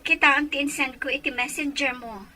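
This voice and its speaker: A woman's voice: sits high, fairly even intonation, normal speed, bright, forceful tone, slight background noise. A woman playing a worried elder sister in an audio drama, pleading and apologetic as she talks. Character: worried, pressed elder sister short of money.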